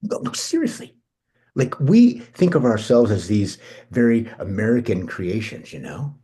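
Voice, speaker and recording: Male actor as amped, impulsive American guy, very raspy and lively, casual and sweary, film drama read. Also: fairly low pitch, a little slow, full character voice. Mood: musing, excited. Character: Benji, amped, impulsive, foul-mouthed American male cousin. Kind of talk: screenplay table read.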